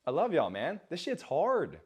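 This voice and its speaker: A man's voice: a little high, wildly swooping pitch, normal speed, breathy edge. A man giving a blunt, motivational talk to a small group and answering questions, swearing freely. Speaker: speaker and coach. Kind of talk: motivational speech and Q&A.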